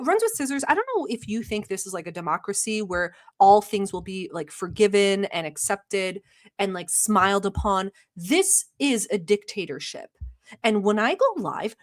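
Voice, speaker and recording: A woman from Turkey who hosts a livestream, chatting casually and rambling with many filler words, sometimes bossy and sarcastic toward her chat. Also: mid-range voice. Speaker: livestream reaction host.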